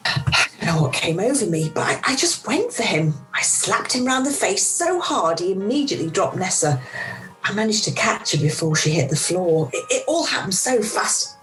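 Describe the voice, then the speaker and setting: A woman's voice: medium pitch, sing-song, moderate pace, a bit bright, poor audio. A middle-aged woman playing a weary, emotional mother who confides wryly in a radio play, recorded over a video call. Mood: shaken, confiding. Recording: amateur video-call recording with music behind.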